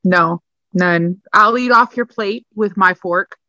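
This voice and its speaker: A woman co-hosting a podcast in casual chat, animated and emphatic, joking around. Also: medium pitch, slow pace, no echo. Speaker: podcast co-host. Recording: dull, dry close mic.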